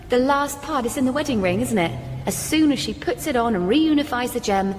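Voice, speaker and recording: A woman's voice: sits high, lively intonation, moderate pace. A woman playing an impulsive, paranoid young heroine, insistent and accusatory, in a comic radio drama. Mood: accusing, frantic. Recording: hall reverb with music behind.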